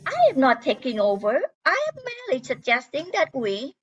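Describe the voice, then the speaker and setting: A high, lilting, prim reindeer voiced by a woman in a Christmas radio play. The delivery is precise and earnest, recorded a little muffled and lo-fi. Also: wildly swooping pitch, normal speed. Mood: polite, insistent. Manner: polite, precise, insistent.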